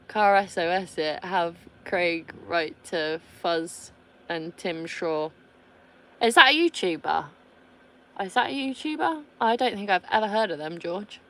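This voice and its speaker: A woman's voice: medium pitch, sharp tone. A woman in her thirties with a British accent, chatting casually on her vlog and reading out viewer comments, blunt, swearing freely and laughing often. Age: 30s. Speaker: vlogger.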